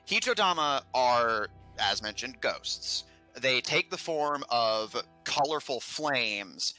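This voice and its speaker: A man narrating a tabletop game as game master, explaining lore in measured, hesitant phrases. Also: mid-range voice, pushed and bright, dry recording, low-quality recording. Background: background music.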